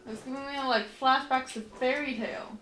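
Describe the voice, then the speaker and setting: A woman with a fairly high voice comments casually on a game stream. She tosses out flippant asides in a roomy space, with game music clearly behind her. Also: fairly even intonation, normal speed, fairly bright tone. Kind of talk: casual live gaming commentary.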